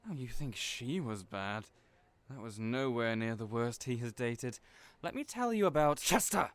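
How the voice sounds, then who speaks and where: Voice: medium pitch, lively intonation, measured pace, lightly acted. An actor in an audio roleplay playing a cheerful, chatty hairdresser who is theatrical, effusive and playfully teasing. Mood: teasing, excited.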